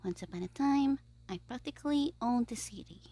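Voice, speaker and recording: A woman voicing a gleeful, scheming young male supervillain in an ASMR audio roleplay, theatrical and petulant, musing aloud with breathy asides. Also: fairly high pitch, animated, a little fast, warm tone. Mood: frustrated musing.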